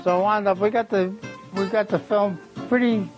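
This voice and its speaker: Older male raconteur with a highish voice. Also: fairly even intonation, normal speed. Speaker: interviewee. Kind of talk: anecdotal conversational storytelling. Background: guitar music.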